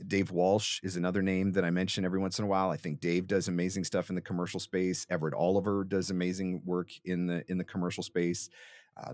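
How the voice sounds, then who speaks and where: A man hosting an interview as a voiceover coach, giving conversational advice in an emphatic, explanatory and encouraging way. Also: low-pitched.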